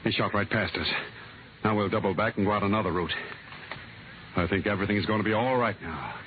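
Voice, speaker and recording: Male actor as commanding American man, low voice, clipped urgent delivery, self-assured, 1950s radio drama, phone-like vintage recording. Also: fast talker, natural voice. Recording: noisy, tinny vintage radio broadcast recording.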